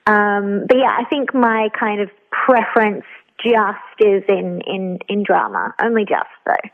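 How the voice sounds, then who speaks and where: A woman's voice: mid-range voice, a little slow. A woman speaking as an interview guest over a telephone, chatting casually and thoughtfully with frequent hesitations. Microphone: phone call. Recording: thin telephone line.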